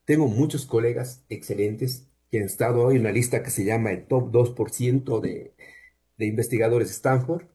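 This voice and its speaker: A man with a Cuenca, Ecuador accent, speaking in a warm, mellow voice at a middling pitch. He answers as a researcher in a measured, explanatory way, pausing often to gather his thoughts. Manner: measured, explanatory, with frequent "eh" pauses. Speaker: university researcher, guest. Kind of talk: conversational interview answers.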